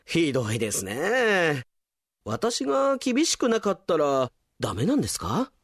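A man's voice: on the high side, huge pitch swings, measured pace, breathy edge, dry recording, studio quality, heavily acted. A man voicing an earnest, polite demon who works as a strict, anxious office accountant in a comic radio drama. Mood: hurt. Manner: prim, polite speech, anxious and stiff.

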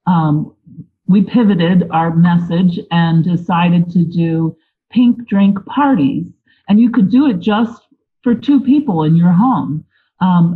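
A woman's voice: fairly low pitch, slightly rough. A woman from Pennsylvania, a volunteer guest, chatting casually and telling a story in an interview. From Lebanon, Pennsylvania. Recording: tinny phone-like line.

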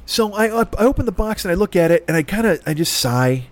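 A man's voice: high-pitched, expressive, fairly quick. A middle-aged man from Chicago with a Chicago accent, telling a long exasperated story in a heated, profane rant. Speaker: podcast host.

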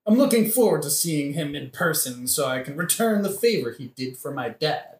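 In an echoey room, a man plays a hot-headed young noble hungry for revenge in a comic audio play. His voice swings widely in pitch, blunt and excitable, with casual modern wording. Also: normal speed. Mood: guarded, vengeful.

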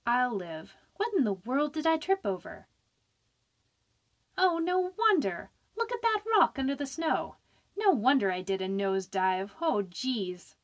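A woman's voice: fairly high pitch, sing-song, unhurried. A woman playing a brash, wisecracking young woman in an old-time radio drama, slangy and blunt. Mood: rueful.

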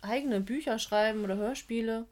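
A woman's voice: a little high, fairly quick, darkish tone. A woman co-hosting a casual podcast, chatty and blunt. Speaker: podcast co-host. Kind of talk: casual chat about audio dramas.

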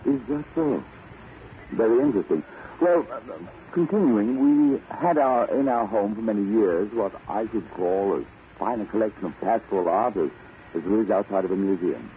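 An actor playing a pompous, long-winded gentleman art bore in an old-time radio drama, wordy and self-absorbed. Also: lowish voice, moderate pace, natural voice. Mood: self-satisfied. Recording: distant, tinny old radio recording with hiss.

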